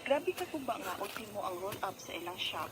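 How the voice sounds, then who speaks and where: A woman's voice: mid-range voice, animated, brisk, background noise, natural voice. A woman acting a worried wife who recounts her family's troubles in a radio drama, with a Cebuano Filipino accent. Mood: troubled, recounting.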